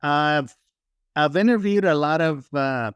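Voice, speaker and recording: A man's voice: a little high, takes it slowly, clean tone, no room sound, clean studio sound. A man hosting a podcast who introduces and interviews guests in a friendly way, adding Spanish phrases and some "uh" fillers. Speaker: podcast host.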